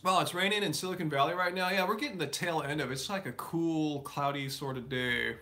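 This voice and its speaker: A man's voice: on the high side, fairly quick, sharp tone. A man hosting a live stream, answering viewer questions in a casual, rambling way with many fillers and restarts. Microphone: live stream setup.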